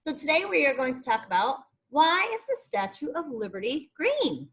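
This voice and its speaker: A woman teacher reading an informational book aloud to young children, expressive and explanatory, with asides to her pupils. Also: high voice, normal speed.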